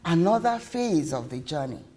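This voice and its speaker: A woman voicing a loving, weary, emotional mother in a story, with pleading, earnest, drawn-out words. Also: low voice, sing-song, warm tone, pitched below the performer's own voice. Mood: tender, reassuring.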